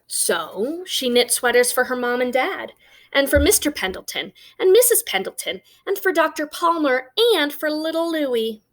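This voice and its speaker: A woman reading a children's picture book aloud as an expressive storyteller, with emphatic pauses and conversational asides. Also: high voice.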